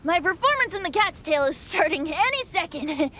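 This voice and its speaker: A woman's voice: very high-pitched, sing-song, normal speed, bright, forceful tone, heavily acted. A woman voicing a breezy, boyish, easygoing bard in a video game, light-voiced, hesitant and playful, congested with allergies. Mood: gentle, encouraging. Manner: hesitant, airy, playful, throat-clearing. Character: boyish, breezy, easygoing wandering bard, secretly a wind spirit. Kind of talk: video game character acting.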